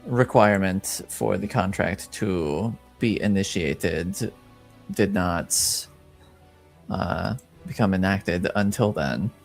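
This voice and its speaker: A man acting as a formal, guarded informant in a fantasy roleplaying game. He speaks slowly in a fairly low voice, with halting, careful diction. Soft music plays under the measured delivery. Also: vocal fry, exaggerated voice. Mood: cautious, formal. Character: formal, guarded male informant reporting intrigue in a fantasy world. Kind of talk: tabletop roleplay, improvised in character.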